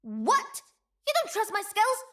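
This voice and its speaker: A woman voicing a young, playful, proud feline girl who leads her village, teasing and quick to laugh, for a video game. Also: very high-pitched, wildly swooping pitch, fairly bright tone, heavily acted. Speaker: game character voice.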